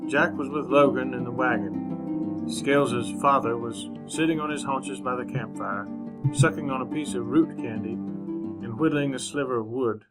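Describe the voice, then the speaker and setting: An actor playing a reserved, humane gentleman traveler in a radio Western, speaking in a measured, formal manner. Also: medium pitch, loud music behind the voice, close to an everyday voice, rough recording. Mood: measured, narrating. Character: proper gentleman traveler narrating his Wild West journey, reserved, humane. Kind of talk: old-time radio drama narration and dialogue.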